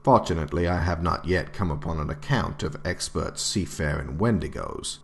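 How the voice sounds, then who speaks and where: A man playing a stern government director in an audio drama. His voice is extremely deep with a gravelly creak, and he delivers formal, oratorical narration with grave, emphatic authority. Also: normal speed. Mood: sober, formal. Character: stern, resolute male government director writing a survival handbook.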